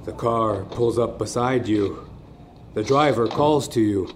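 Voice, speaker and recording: A man narrating a horror story in a suspenseful, theatrical manner, with short deliberate phrases. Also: on the low side, slight background noise. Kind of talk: dramatic second-person horror story narration. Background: music.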